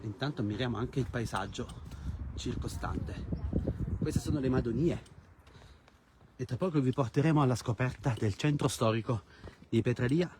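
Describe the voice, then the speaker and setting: A man's voice: mid-range voice, normal speed, pauses often, a little mellow. A man hosting a live travel stream outdoors, speaking directly to viewers like a presenter. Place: outdoors in a hilltop village. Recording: noisy outdoor recording with clicks.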